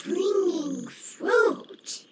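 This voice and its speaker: A woman voicing a small, earnest animal-like creature in a video game, squeaking in halting, broken fragments. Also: animated, noisy background, exaggerated voice. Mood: worried, halting. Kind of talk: video game cutscene dialogue. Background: loud game music.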